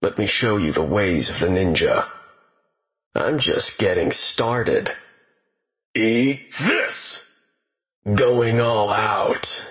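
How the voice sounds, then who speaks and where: A stern, deadly ninja from a video game, speaks very slowly in a low, gravelly voice. He is proud, solemn and terse, his dramatic words ringing with echo. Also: a bit bright, full character voice. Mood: fierce battle cry. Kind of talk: video game hero battle lines. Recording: echoing game voice clips with long reverb.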